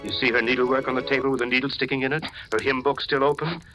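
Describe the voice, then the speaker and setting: An actor playing a deranged, menacing hotel keeper who rambles in a folksy, deceptively solicitous way, in an old-time radio horror drama. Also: male voice, mid-range voice, fairly even intonation, very fast, close to an everyday voice. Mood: eerily matter-of-fact. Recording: vintage radio drama, music underneath.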